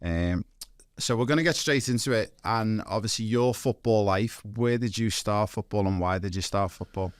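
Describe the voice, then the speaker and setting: A man's voice: fairly low pitch, moderate pace, clear, resonant tone, dry recording, studio quality. A man hosting a podcast interview, chatty and enthusiastic, introducing his guest and asking questions. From Europe. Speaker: podcast host.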